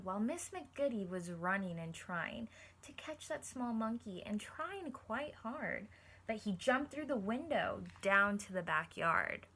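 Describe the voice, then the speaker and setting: Casting: female primary teacher, bright forward voice, encouraging read-aloud delivery with simple asides, noticeable background noise. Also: medium pitch. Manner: encouraging, addresses children directly with simple explanations. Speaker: teacher reading a picture book aloud to children. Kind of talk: read-aloud lesson with questions to young listeners.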